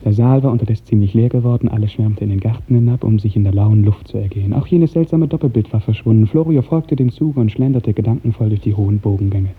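A man's voice: on the low side, fast, few pauses, husky. Male storyteller on a narrow old radio recording. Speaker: narrator. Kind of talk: literary scripted narration, 1950s German radio drama. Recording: narrow-band 1950s radio recording, faint music.

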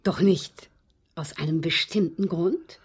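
Radio play casting: a composed, well-mannered widow with a secret, lowish husky voice, precise and faintly amused. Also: female voice, slow, heavily acted. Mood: polite, composed. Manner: polite, precise, unruffled, coolly amused. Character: genteel, composed widowed lady calmly confessing to poisoning. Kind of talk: German radio drama acting.

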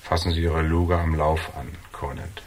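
A tough noir investigator in a radio crime drama, with an extremely deep voice. He is cool and defiant, delivering dry, laconic taunts. The recording carries clear hiss. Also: little pitch movement, moderate pace. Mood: cool, taunting.

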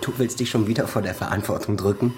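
A man's voice: on the low side, fast, darkish tone, natural voice. An actor playing a bitter, desperate adult son who accuses his father with insistent intensity, in a German radio crime drama. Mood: menacing, accusing.

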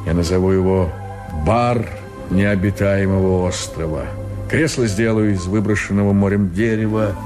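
A man's voice: sits low, fairly even intonation, moderate pace, lightly acted. An actor playing a chummy, scheming male adventurer with a persuasive, emphatic way of talking in a radio drama. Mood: dreamy. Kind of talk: Soviet radio drama acting. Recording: Soviet radio play with music underneath.

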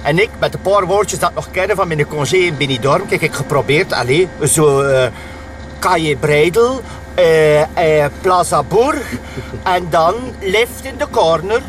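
A man's voice: a little high, lively intonation, unhurried, a bit bright, faint music behind. An actor playing a flustered, chatty Flemish policeman in a comic radio drama, rambling in colloquial dialect. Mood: flustered, amused.

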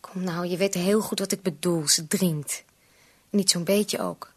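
An actress playing a worried, insistent young bride-to-be pleading as a daughter in a radio play. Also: female voice, lowish voice, measured pace, breathy edge. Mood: insistent, upset. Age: young adult.

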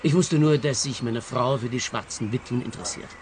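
An actor playing a touchy, self-justifying, well-to-do married client in a radio play. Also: male voice, medium pitch, brisk, darkish tone, slight background noise, lightly acted. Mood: evasive.

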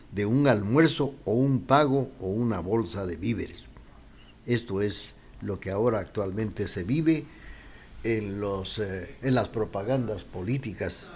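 Man, Guatemalan accent, radio host, conversational, middle pitch, pauses to think. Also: darkish tone, slight background noise. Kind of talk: radio show commentary between songs.

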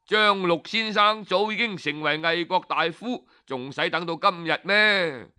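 Man voicing a plaintive servant, slow and clear. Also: high-pitched, bright, forceful tone, exaggerated voice. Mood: regretful, insistent. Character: earnest, persuasive male servant in ancient China, sighing.

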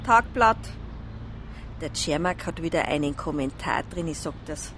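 An actress playing a gossipy, plain-spoken village newsagent in a radio drama, speaking in a folksy Bavarian accent. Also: female voice, sits low, expressive, a little slow, a bit bright, slight background noise, full character voice. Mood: chatty. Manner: chatty, folksy. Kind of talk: naturalistic radio drama dialogue.

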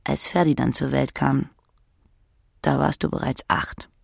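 Woman reading a literary novel, raspy with lots of fry, cutting and sardonic. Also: very deep voice, flat delivery, unhurried, no echo, clean studio sound. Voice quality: husky, creaky. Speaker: audiobook reader. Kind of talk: literary audiobook narration in second person.

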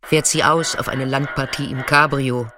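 A man performing scripted literary narration in a deliberate, dramatic way, sometimes dropping to a hushed near-whisper. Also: mid-range voice, steady tone.

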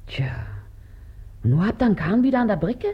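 Bossy husky washerwoman mom, radio comedy, broad dialect, scolding, pitch all over the place. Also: very animated, brisk, highs cut off. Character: shrewd, bossy, resolute washerwoman and mother. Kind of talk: stage-comedy radio acting.

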